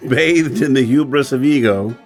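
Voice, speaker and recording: Man playing a dry, sardonic gentleman adventurer. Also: medium pitch, soft background music, close to an everyday voice. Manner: deadpan, measured. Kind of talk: radio drama acting.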